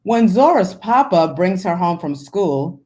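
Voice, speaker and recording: A woman's voice: lowish voice, big pitch swings, a little slow, a little mellow. A woman, a children's book author, who chats casually as a guest and reads storybooks aloud. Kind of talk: casual interview talk, then storybook reading.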